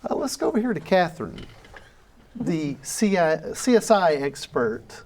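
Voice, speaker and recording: A man's voice: a little high, very animated, measured pace, a little mellow, a little hiss or hum. A man hosting a live panel, moderating feedback after a table read. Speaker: host.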